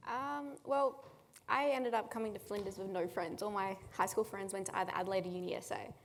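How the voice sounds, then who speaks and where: A woman's voice: medium pitch, steady tone, a little fast, a little hiss or hum. Chatty woman student, hall echo. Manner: chatty, lots of "like". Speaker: student panelist. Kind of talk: casual panel talk. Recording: long hall reverb.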